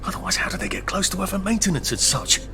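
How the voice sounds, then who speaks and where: A wry, fretful Englishman, creaky-voiced. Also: high-pitched, animated, quick pace, music in the background. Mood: grumbling. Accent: British English. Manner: wry, muttering, dryly sarcastic. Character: anxious, wry, fussy English man on the run. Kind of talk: video game character monologue, talking to himself.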